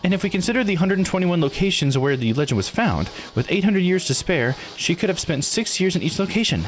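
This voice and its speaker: Man voicing an eager, high-voiced bookish theorist. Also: big pitch swings, brisk, faint music behind. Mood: earnest, eager. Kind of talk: visual novel character read aloud.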